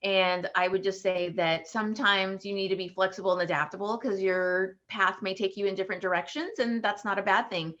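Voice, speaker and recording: A woman's voice: mid-range voice, a little fast, few pauses, a bit bright, muffled recording. A woman from Texas in her forties, telling her career story to students with many ums and restarts. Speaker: panelist, patent attorney.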